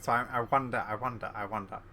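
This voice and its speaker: Very low-voiced woman reacting casually. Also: steady tone, fast talker, heavy background noise. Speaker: gaming streamer.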